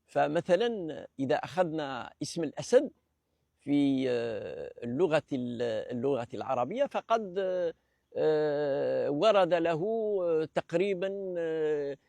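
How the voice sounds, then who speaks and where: A man's voice: fairly high pitch, expressive, vocal fry, darkish tone, no echo. A man from Algeria giving an unscripted lecture, explanatory and hesitant, with many fillers and restarts. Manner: measured, explanatory. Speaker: presenter of a language talk series.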